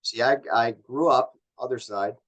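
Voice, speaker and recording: A man's voice: mid-range voice, moderate pace, a bit bright, muffled recording. A middle-aged man with a North American accent, talking casually as an interview guest in a rambling, explanatory and colloquial way. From born in Canada, grew up in Los Angeles. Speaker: company executive guest.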